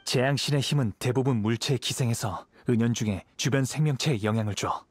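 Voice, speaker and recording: An actor playing a calm, perceptive young samurai in an anime-style video game, speaking in a composed, serious, measured manner. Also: male voice, medium pitch, husky, natural voice. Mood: serious, deducing.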